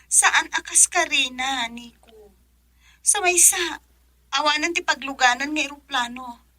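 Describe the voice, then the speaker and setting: An actress with a Filipino accent playing an anxious, tearful young wife working abroad in a radio drama. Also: female voice, sits high, a little slow, sharp tone, no echo. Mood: worried. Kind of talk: Ilocano radio drama acting.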